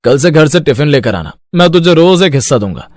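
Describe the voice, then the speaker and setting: A man's voice: on the high side, very animated, brisk, no room sound, studio quality. A man voicing a kindly roadside eatery owner in a cartoon moral story, instructing and encouraging. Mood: generous. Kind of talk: animated moral-story dialogue.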